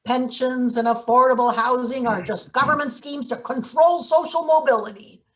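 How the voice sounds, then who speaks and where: A woman's voice: high-pitched, normal speed, a bit bright. A woman acting a brusque, scheming, cynical maintenance boss in a read-through of a satirical stage play. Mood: sardonic. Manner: bossy, clipped, sardonic.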